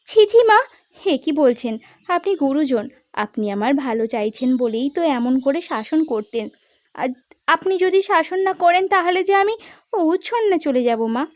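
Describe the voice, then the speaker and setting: A woman's voice: high voice, animated, unhurried, a little mellow. A woman voicing a young, devoted newlywed wife in a cartoon story, plaintive and pleading. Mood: deferential. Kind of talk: animated story character acting.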